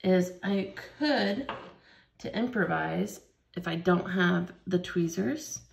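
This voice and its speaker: Slow, gravelly woman teaching beading. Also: on the low side. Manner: slow, patient step-by-step explaining. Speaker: craft instructor. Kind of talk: hands-on beading tutorial. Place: craft table indoors.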